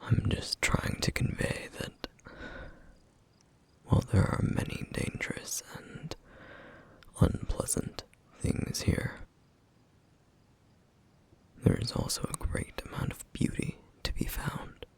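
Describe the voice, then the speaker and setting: A man playing a gentle, duty-bound flame demon in an ASMR roleplay, speaking softly in slow, formal, wistful phrases with a rough, breathy voice. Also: close to an everyday voice. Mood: gentle, earnest. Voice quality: breathy, whispery, rough, gravelly. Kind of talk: ASMR roleplay, intimate soft-spoken monologue.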